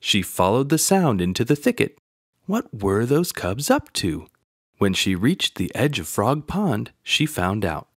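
A man narrating a children's picture book aloud in an expressive storytelling manner. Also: lowish voice, measured pace, slightly rough, dry recording, studio quality.

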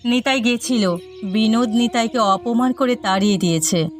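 A woman's voice: a little high, moderate pace, faint music behind, lightly acted. A woman playing a caring, plain-spoken middle-aged village mother and wife in a cartoon moral story. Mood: concerned, reproachful.

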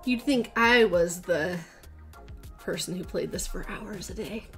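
A woman in her thirties co-hosting a casual gaming video from a small home room, chatty and encouraging with quick short replies. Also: lowish voice, fairly bright tone, soft background music. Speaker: co-host.

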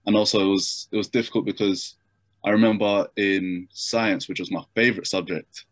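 Young guy on a video call, deep voice, lots of likes and ums. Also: fairly even intonation, normal speed, no echo. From Japan. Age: young adult. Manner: hesitant, many fillers and restarts. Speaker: interview guest. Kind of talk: casual video-call interview. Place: bedroom at home. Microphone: laptop webcam mic on video call.

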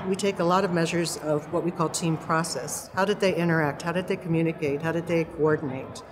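Low-voiced female researcher explaining. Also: fairly even intonation, background noise. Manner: measured, explanatory. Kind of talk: documentary interview.

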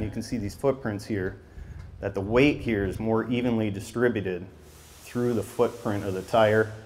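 A man in his thirties, a regional tire sales manager, giving a product talk into a handheld mic at an outdoor trade expo, explaining steadily with frequent ums. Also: lowish voice. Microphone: handheld microphone.

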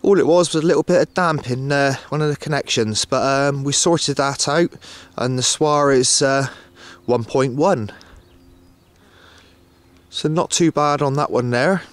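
A man narrating a casual outdoor vlog in a hesitant, matter-of-fact way, often pausing as he goes. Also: mid-range voice. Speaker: radio hobbyist filming himself. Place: outdoors on an open hilltop. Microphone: handheld camcorder, operator behind camera.

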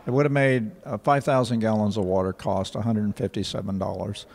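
An older man, answering interview questions in a measured, factual way with frequent pauses. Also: lowish voice, fairly even intonation, vocal fry, darkish tone. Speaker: rural water association director. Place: indoor office in front of a painting. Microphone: handheld station mic.

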